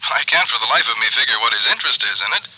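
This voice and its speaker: A man's voice: mid-range voice, lively intonation, racing, slightly rough. An actor playing a mild, proper, easily flustered insurance company man with an American accent, in 1950s radio style. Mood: explaining, mild. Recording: muffled vintage radio recording.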